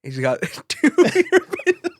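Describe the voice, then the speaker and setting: A man's voice: very high-pitched, huge pitch swings, slow pace, no room sound. Man chatting casually, dry close sound. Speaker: podcast co-host. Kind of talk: casual chat.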